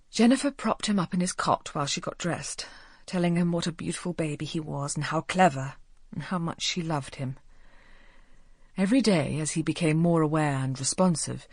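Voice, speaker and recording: A woman's voice: low-pitched, husky, no room sound, studio quality. A woman reading scripted story narration as an audiobook narrator.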